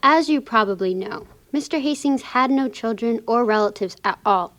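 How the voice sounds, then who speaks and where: A woman playing a kind, professional lawyer in a children's puppet show, explaining things gently to a child. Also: fairly high pitch, animated, moderate pace. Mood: kindly, explanatory.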